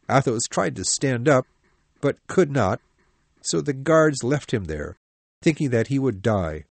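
Guy doing a proud loyal soldier explaining himself to his captain, earnest, lively pitch, audiobook. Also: gravelly, no echo, heavily acted. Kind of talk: audiobook dialogue acting.

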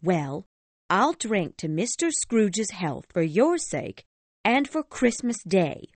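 A woman voicing a harried, sharp-tongued poor mother in a storybook reading, indignant and scornful. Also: mid-range voice, sing-song, slow, dry recording. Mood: grudging. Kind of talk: audiobook character voice.

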